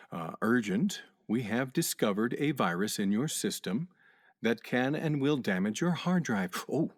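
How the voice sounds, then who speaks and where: A man's voice: on the low side, slight background noise, heavily acted. A man acting a breezy, folksy, supremely self-confident job candidate who talks in glib platitudes, in a comedic radio play. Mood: musing.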